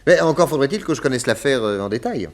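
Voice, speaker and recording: An actor playing a confident, amused private detective in a 1960s French radio drama, questioning without hurry. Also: male voice, medium pitch, animated, fast, natural voice. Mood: courteous, measured.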